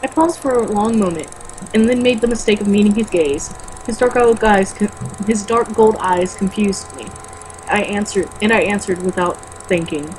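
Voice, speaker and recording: A woman's voice: mid-range voice, normal speed, a little mellow, slight background noise, low-quality recording. A woman reading a first-person novel aloud informally, occasionally stumbling over words and rereading them. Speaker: amateur reader in a comedic read-aloud video.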